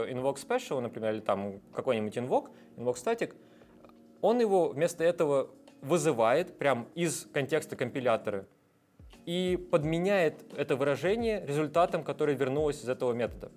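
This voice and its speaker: A man's voice: a little high, animated, a little fast, darkish tone, faint music behind. A man guest in a casual interview, explaining technical ideas at length with frequent hesitation sounds. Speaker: guest, software developer.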